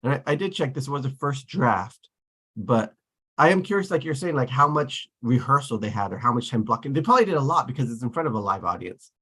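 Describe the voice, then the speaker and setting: A man's voice: mid-range voice, expressive, brisk, fairly bright tone, breathy edge. A man moderating a casual group discussion, thinking aloud in a tentative way.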